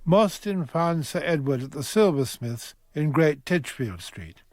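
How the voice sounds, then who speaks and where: An actor playing a dramatic narrator of a period crime story, delivering scripted narration. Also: male voice, a little high, expressive, measured pace, darkish tone, dry recording, clean studio sound. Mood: measured.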